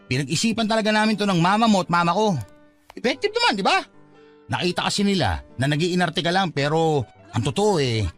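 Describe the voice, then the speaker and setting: A man's voice: sits high, a bit bright. A man playing a cheeky, scheming young suitor, teasing and insistent, in a Filipino radio-style romance drama. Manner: teasing, wheedling, playful. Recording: music under the dialogue.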